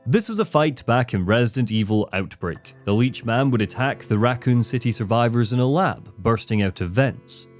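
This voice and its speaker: A man's voice: medium pitch, expressive, moderate pace, hardly any pauses. A man delivering scripted narration for a critical video essay, with dramatic, emphatic phrasing. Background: background music, guitar.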